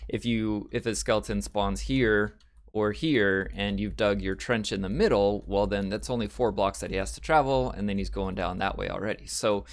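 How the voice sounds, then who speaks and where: A man hosting a casual video game let's-play, chatty and rambling, his voice a little raspy and off today. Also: on the low side, normal speed. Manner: chatty and rambling, with frequent ums and uhs. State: slightly raspy, voice a bit off. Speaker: gaming channel host.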